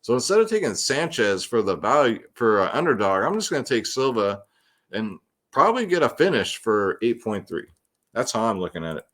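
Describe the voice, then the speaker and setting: A man talks through fight predictions as the host of a fantasy sports show, at an ordinary male pitch. His delivery is casual and slangy, addressing the viewers like buddies with plenty of "man" and "guys.". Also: big pitch swings, fairly quick, fairly bright tone. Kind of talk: casual solo fight predictions and betting commentary.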